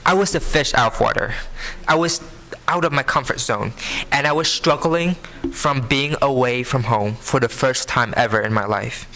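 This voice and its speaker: A man in his early twenties with a Vietnamese accent, giving an earnest prepared speech to an audience, phrasing deliberately. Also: mid-range voice, bright, forceful tone, a little hiss or hum. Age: early 20s. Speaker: scholarship program student speaker. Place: live benefit event before an audience.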